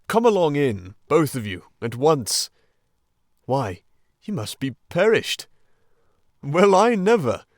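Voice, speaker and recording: A gruff old badger from a storybook, as a male reader puts him on, slow and deliberate, carrying a protective, fatherly air. His voice is raised a little in pitch and booms loud, with lively swings in the melody. The recording is close and dry. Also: no echo, exaggerated voice. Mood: warmly surprised. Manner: gruff, suspicious, then fatherly. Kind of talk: storybook reading.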